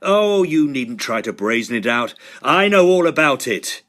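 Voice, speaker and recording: Earnest young Victorian student, acted by a man, animated. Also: medium pitch, measured pace. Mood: angry defiance. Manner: emphatic, old-fashioned turns of phrase, some hesitant stammering. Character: earnest, blunt, indignant young male Victorian Oxford student. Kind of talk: period audio drama acting.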